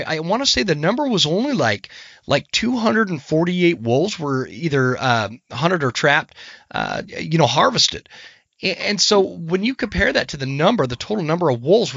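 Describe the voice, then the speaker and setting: A man in his forties with an American accent, hosting a podcast, hoarse from a cold and talking passionately with frequent restarts. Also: a little high, a bit bright, no room sound, muffled recording. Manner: passionate, gets worked up, many restarts. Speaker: podcast host. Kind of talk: casual podcast conversation.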